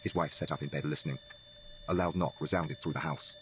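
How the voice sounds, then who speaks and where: A man's voice: extremely low pitch, fairly even intonation, a little fast, over loud music. A man giving a dramatic scripted narration of a horror story.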